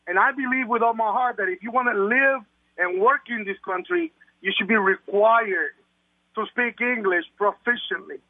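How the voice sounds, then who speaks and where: A man's voice: high voice, expressive, moderate pace, dry recording. Older man on a phone line. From Puerto Rico. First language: Spanish. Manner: earnest, repeats words when pressing a point. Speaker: caller. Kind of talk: talk radio call. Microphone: telephone.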